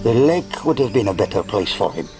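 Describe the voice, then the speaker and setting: An actor playing a megalomaniac villain lord in a radio play, cold, grandiose and self-pitying, with measured speech and a gravelly voice. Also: male voice, fairly low pitch, sing-song. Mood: cold, self-satisfied. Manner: pompous, measured. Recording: music behind the voice.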